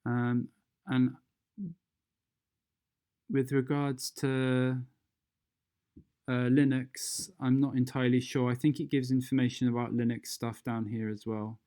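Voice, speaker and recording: An unhurried tutorial presenter with a soft, mellow tone gives an unscripted software walkthrough. The delivery is fairly flat in pitch and hesitant, as the steps are worked out aloud. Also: smooth. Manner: hesitant, thinking aloud while demonstrating.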